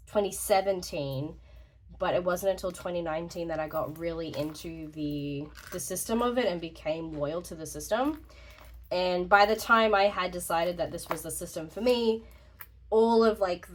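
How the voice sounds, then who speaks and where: A woman's voice: on the low side, slight background noise. A young woman with a slightly congested voice, rambling casually through a vlog update, chatty and laughing often. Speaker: journaling YouTuber.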